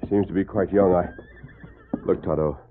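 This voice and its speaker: An actor playing an upright, resolute cowboy hero in a radio western, speaking with decisive authority. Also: male voice, low-pitched, steady tone, normal speed, close to an everyday voice. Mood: grave, resolute. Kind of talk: old-time radio western acting. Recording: muffled, noisy vintage radio recording.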